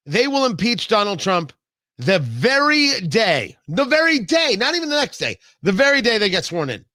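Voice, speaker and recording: A man's voice: high-pitched, sharp tone, no room sound, studio quality. An American man hosting a political talk show, delivering an opinionated monologue emphatically and repeating phrases for effect.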